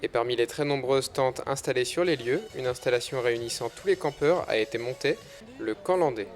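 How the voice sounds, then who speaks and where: A man narrates a regional news report in a steady, scripted manner. His voice sits at a medium pitch and the delivery is even and measured. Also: faint music behind.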